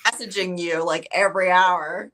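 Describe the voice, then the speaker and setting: A woman's voice: lowish voice, steady tone, moderate pace, a bit bright, poor audio. A woman guest chatting casually about books, very informal and sprinkling "like" through her sentences. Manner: informal, peppered with "like". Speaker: guest on a book readalong livestream. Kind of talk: casual chat.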